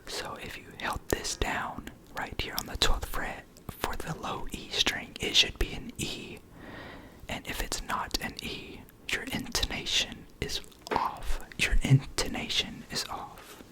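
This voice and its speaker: Whispered, slow ASMR guitar explainer. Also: sharp tone, rough recording. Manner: informal, hesitant, repeats key words slowly.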